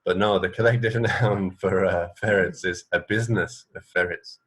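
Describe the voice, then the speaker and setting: A man from England co-hosting a radio show in casual chat, thinking aloud in a halting way. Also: deep voice, fairly even intonation, a bit bright, slightly rough. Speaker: radio co-host. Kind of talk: casual chat about fun facts.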